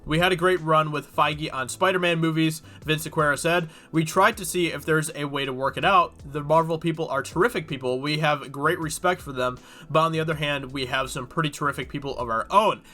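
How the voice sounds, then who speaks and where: A man's voice: a little high, steady tone, fairly quick, few pauses, bright, forceful tone, soft background music. A man with a cold and a congested voice, talking casually and rambling with many fillers as he reads through and comments on a news article. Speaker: YouTube host.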